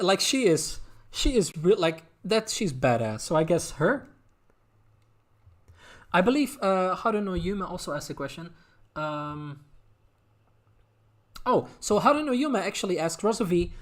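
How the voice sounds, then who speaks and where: A man with a Dutch accent chatting casually as a streamer, answering viewer questions in a halting way, often repeating words as they think aloud. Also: sits high. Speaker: streamer, anime content creator.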